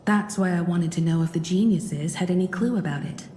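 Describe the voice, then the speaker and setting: An actress playing a thoughtful, polite young explorer who asks inquisitive questions. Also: female voice, fairly low pitch, brisk, mellow, natural voice. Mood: curious. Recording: roomy reverb with game music.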